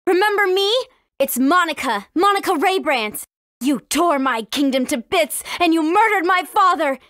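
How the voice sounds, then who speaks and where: A woman voicing a brave, friendly teenage warrior princess in a video game, with an earnest, clearly spoken manner. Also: very high-pitched, animated, normal speed, fairly bright tone, dry recording. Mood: angry, defiant. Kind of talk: scripted video game character dialogue.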